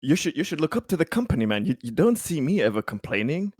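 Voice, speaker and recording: A man playing a tabletop game, chatting casually in a halting way with repeated words. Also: on the high side, sing-song, a little mellow, slightly rough, no room sound, studio quality. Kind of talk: casual chat.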